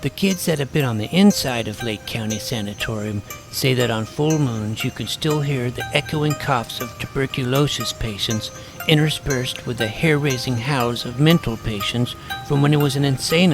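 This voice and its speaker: A man playing an earnest, creaky boy detective. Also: mid-range voice, moderate pace, music in the background, natural voice. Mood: earnest, suspenseful. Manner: earnest, wordy, mock-serious. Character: earnest, imaginative boy detective narrating his own case. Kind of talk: scripted first-person narration with dialogue.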